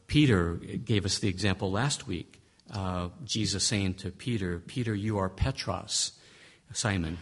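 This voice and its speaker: Husky, emphatic male Bible lecturer. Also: lowish voice. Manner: conversational, emphatic, with frequent restarts and rhetorical questions. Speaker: Bible teacher. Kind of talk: lecture on interpreting scripture.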